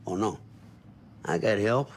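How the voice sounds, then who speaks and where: A man's voice: on the low side, lively intonation. An actor playing a folksy, defensive veteran night watchman in a video game, with an American accent and a rambling manner. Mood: folksy, easygoing. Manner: folksy, rambling, evasive drawn-out speech. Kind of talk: cinematic game dialogue.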